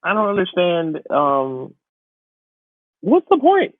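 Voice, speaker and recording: A man's voice: high-pitched, huge pitch swings, takes it slowly, dry recording. A man co-hosting a podcast in casual chat, opinionated and incredulous in the way he talks. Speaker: podcast co-host. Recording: thin phone-like radio sound, dry.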